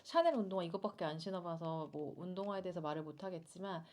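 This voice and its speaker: 서울 말씨로 강조하며 수다 떠는 여성. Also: female voice, on the low side, expressive, fast talker, darkish tone. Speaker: co-host of a fashion shopping show. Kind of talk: casual product review chat with a friend.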